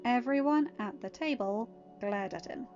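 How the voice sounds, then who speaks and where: A woman with a fairly high voice narrates as a podfic reader. Faint music runs behind her, and the recording is slightly dull. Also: unhurried, darkish tone. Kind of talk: scripted story narration.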